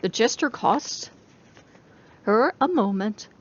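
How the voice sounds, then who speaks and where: A woman narrates a novel in a dramatic storytelling style. Her voice sits fairly high and moves in very lively swings of pitch. The recording is slightly dull and lo-fi. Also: slow. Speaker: reader of a story.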